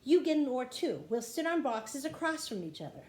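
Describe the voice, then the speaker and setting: A woman's voice: high-pitched, moderate pace, pitched above the performer's own voice. A woman voicing a plucky, eager, stubborn little girl in a storybook read-aloud. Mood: insistent. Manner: excitable, urgent, pleading. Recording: roomy echo with light background noise.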